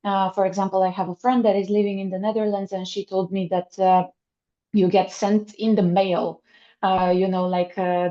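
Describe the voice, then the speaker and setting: A woman's voice: fairly low pitch, dark tone. Eager young woman hosting, muffled audio. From Bulgaria. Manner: enthusiastic, many fillers, laughs often. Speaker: podcast host. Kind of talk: casual conversational interview.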